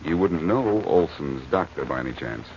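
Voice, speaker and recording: An actor playing a smooth, wisecracking amateur detective with an American accent in a 1940s-style radio drama, brisk and flirtatious. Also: male voice, rumbling low, lively intonation, darkish tone. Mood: probing, flirtatious. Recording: muffled, noisy old radio recording.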